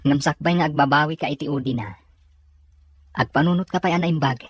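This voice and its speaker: An actor plays a concerned, frank Filipino friend in a radio drama. The voice is husky and close, in a very dry recording. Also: male voice, a little high, fairly quick, no room sound. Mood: frank, worried. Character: concerned male friend giving frank advice.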